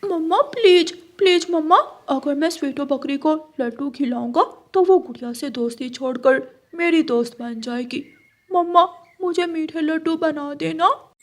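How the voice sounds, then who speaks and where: A woman's voice: sits high, a little slow. A woman voicing a jealous, wheedling young male crow in a children's cartoon story. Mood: pleading. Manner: wheedling, sly.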